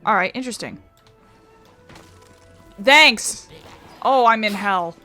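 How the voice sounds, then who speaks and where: A woman's voice: mid-range voice, slow pace, pushed and bright, soft background music. A woman giving casual gameplay commentary, offhand and sweary, thinking aloud as she plays. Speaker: let's play host.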